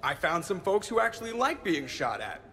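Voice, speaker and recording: Cheeky male pilot, high for a man, crackly, sarcastic, game dialogue. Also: very animated, normal speed, heavily acted. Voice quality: creaky, sharp.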